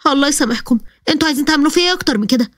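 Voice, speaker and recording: A woman's voice: high voice, animated, racing, fairly bright tone, no echo, clean studio sound. A woman voicing an upset young bride who is fretful and indignant, speaking with an Egyptian accent.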